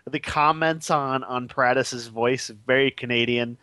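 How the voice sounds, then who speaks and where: Game dev guy talking fast and excited, dry close sound, laughs a bunch. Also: medium pitch, smooth, no echo. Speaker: game developer guest. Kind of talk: casual interview.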